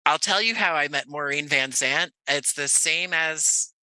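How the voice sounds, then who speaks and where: A man's voice: fairly high pitch, a little slow, pushed and bright, clean tone, dry recording, poor audio. A man from Jersey City chatting casually as an interview guest, telling stories in a discursive way. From Jersey City, United States. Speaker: guest playwright and director. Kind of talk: casual podcast conversation.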